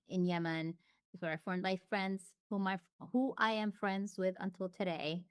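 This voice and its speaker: Smooth, clear-voiced woman chatting. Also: lowish voice, dry recording, studio quality. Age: adult. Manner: chatty, frequent restarts and fillers. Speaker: interview guest. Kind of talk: reflective casual conversation.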